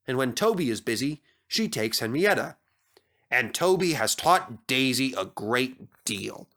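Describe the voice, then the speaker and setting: Bright, animated male storyteller. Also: medium pitch. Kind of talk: scripted children's story narration.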